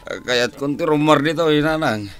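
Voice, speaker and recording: A man playing a demanding, whining prisoner son with a quick temper in a radio drama. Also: on the high side, fairly bright tone, faint music behind, natural voice. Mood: sullen pleading. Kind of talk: radio drama acting in Ilocano.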